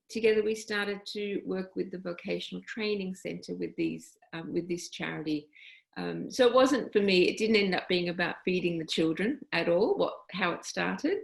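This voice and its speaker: A woman telling her personal story on a panel call, reflective and halting, with many pauses and fillers. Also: fairly low pitch, creaky, echoey room. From Australia. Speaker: guest.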